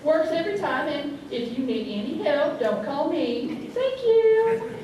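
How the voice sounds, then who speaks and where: Woman as a high-voiced folksy remedy lady, echoey. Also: sing-song, measured pace, mellow. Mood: cheerfully matter-of-fact. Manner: homespun, chatty, instructive. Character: folksy, cheerfully brutal small-town home remedy lady. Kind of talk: comic radio monologue before an audience. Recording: distant, very echoey, noisy stage recording.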